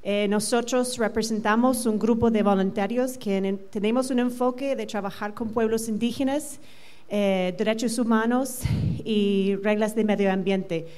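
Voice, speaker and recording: A middle-aged American woman whose first language is English, giving a conference talk in a large hall on a handheld mic, quick with many fillers. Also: mid-range voice, steady tone, darkish tone. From United States. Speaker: conference presenter. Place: large hotel conference room. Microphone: handheld microphone.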